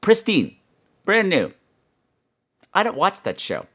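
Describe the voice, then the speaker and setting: Animated, higher-pitched man hosting a podcast. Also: takes it slowly. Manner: campy, playful, self-mocking, emphatic. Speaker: podcast host. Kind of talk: chatty horror podcast monologue to listeners.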